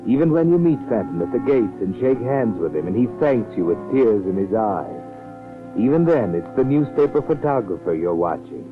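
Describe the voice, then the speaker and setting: An actor playing a mysterious, sardonic storyteller narrating a 1940s radio mystery with an ominous, deliberate manner. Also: male voice, lowish voice, very animated. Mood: mocking. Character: mysterious, sardonic male night-walking storyteller. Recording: tinny 1940s radio recording, music behind.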